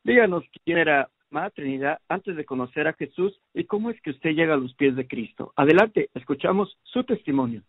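A man's voice: a little high, lively intonation, a little fast, few pauses, husky, dry recording, narrow, tinny audio. Friendly male host, thin dry sound. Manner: polite and affectionate, addressing the guest as little sister. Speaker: host of a testimony channel. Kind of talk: friendly interview.

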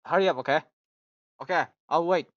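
A young man with a Russian accent, hosting a casual joking chat on a voice call, stammering and excitable. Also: a little high, brisk, dry recording, highs cut off. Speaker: channel host. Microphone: phone on a voice call.

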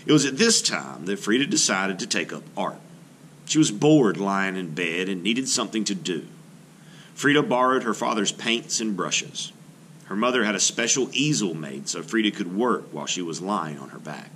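A man reading a children's biography aloud like an art teacher, in an expressive storytelling way with sentences that trail off. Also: deep voice, moderate pace. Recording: noticeable background noise.

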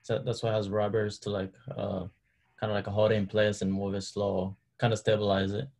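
A man's voice: lowish voice, flat delivery, fairly quick, creaky, a little mellow. A man answering as a student on a video call, searching for words. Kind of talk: answering in class.

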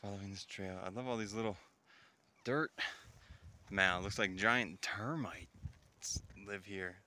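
A man's voice: on the low side, slow pace, sharp tone. A man narrating a casual solo hiking vlog in a chatty, wonder-struck way, speaking outdoors into a handheld camera. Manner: chatty, exclaiming in wonder, often chuckling. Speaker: solo hiker vlogging. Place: outdoors in windy, open mountain terrain. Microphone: handheld phone or camera while walking. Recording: noisy outdoor recording with clicks.

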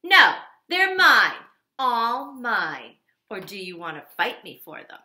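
A woman voicing a grouchy, bullying ladybug that shouts its lines slowly and loudly. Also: on the low side. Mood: bossy shouting. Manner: loud, shouting, drawn-out. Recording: echoey room.

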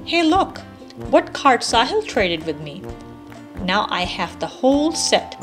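A woman voicing a friendly, playful young girl in a children's storybook read-aloud, with expressive reading. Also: a little high, slow pace, over music. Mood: playful, lively.

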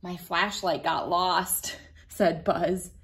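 Slow, gentle woman reading to kids. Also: on the low side, fairly even intonation, a little hiss or hum. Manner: gentle, playful, laughing often. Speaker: children's book reader. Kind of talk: read-aloud storytime for kids. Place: bright room indoors.

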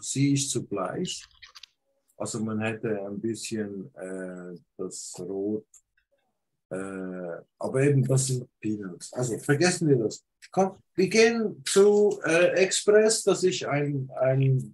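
A man's voice: medium pitch, slow pace, a little mellow, low-quality recording. A man from Switzerland with a cold, bluntly critiquing flyer photos on a video call, hesitating with fillers. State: has a cold. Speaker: photographer giving feedback. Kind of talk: casual video call discussion.

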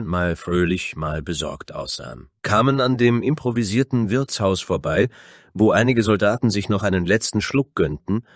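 A man's voice: lowish voice, no echo, studio quality. A man narrating a scripted fantasy story in a dramatic storytelling style.

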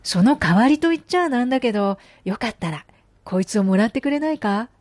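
A woman's voice: a little high, lively intonation, a little fast, darkish tone, dry recording, close to an everyday voice. Woman voicing a mild, worried young dad, close and dry. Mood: friendly, coaxing. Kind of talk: animated folk-tale storytelling for children.

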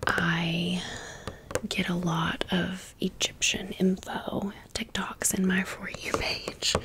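An American woman whispering in a chatty, rambling ASMR style, as a video creator chatting through a haul and story. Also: lowish voice. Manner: chatty, rambling, repeats words softly.

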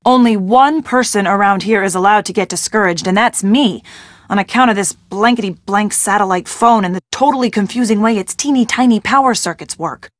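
A woman's voice: medium pitch, brisk, dry recording, clean studio sound, natural voice. An actress playing a spirited, earnest young woman in a video game, a loyal and anxious friend who is wry and plucky. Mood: wry, determined.

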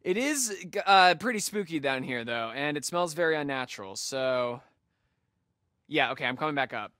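Animated, wisecracking young American camp counselor. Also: male voice, a little high, normal speed, no echo, clean studio sound. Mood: excited, joking. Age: young adult. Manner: laid-back, wisecracking, slangy. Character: joking, sardonic young male summer camp counselor. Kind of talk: naturalistic video game acting.

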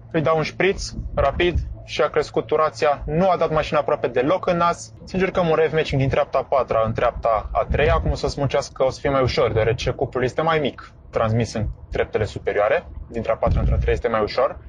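A man calmly explaining step by step while driving, with frequent hesitations, recorded inside a moving car. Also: fairly high pitch, slight background noise. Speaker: driving instructor vlogger.

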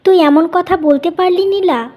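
A woman plays a gentle young wife, the sensible elder sister of a cartoon family tale. Her voice is high and her manner patient. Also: normal speed. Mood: reassuring. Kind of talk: Bengali cartoon story voice acting.

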